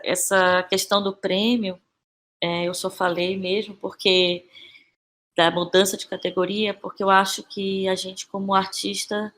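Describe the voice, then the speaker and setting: Woman, Brazilian accent, medium pitch, informal interview talk, reflective, frequent tag questions. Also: fairly bright tone. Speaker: podcast guest, actress and playwright. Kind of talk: conversational interview answers.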